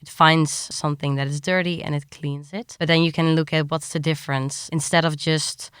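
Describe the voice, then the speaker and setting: Clear-voiced woman giving interview answers. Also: deep voice, steady tone, moderate pace, fairly bright tone, no echo, clean studio sound. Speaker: science journalist, interviewee.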